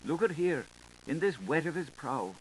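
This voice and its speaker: A knowing, sharp-tongued washerwoman voiced by a man passes on scandal in a lilting, rhetorical way. It is a dramatic literary audiobook reading from an old tape with light hiss. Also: on the high side, sing-song, normal speed, rough recording. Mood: relishing. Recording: hissy 1985 tape recording.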